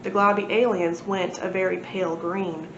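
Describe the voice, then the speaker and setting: A woman's voice: flat delivery, moderate pace. Woman reading aloud in an echoey room. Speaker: library storyteller. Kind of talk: expressive children's read-aloud. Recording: echoey room, muffled, noisy low rumble.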